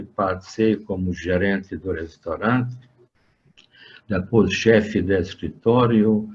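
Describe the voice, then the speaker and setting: An older man with a Hungarian accent speaks very slowly and haltingly, filling pauses with "eh" and often starting over. His voice sits fairly low. The recording sounds dull and lo-fi. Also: darkish tone. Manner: slow and halting, with frequent "eh" fillers and restarts. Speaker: interviewee. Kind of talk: recounting his working life in an interview.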